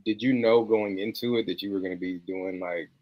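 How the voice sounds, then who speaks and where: A man with a very low voice, recorded close and dry, leads the conversation. He sets out careful, open questions at an even pace. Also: no room sound, muffled recording. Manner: measured, probing questions. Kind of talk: interviewing guests in casual chat.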